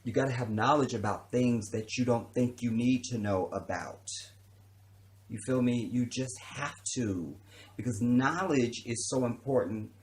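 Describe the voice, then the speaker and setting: A man's voice: mid-range voice, measured pace. A man born in Chicago and raised around the country, giving an emphatic, profane livestream monologue and often checking in with his listeners. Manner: preachy, emphatic, profane, keeps asking "you understand?". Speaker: livestream host.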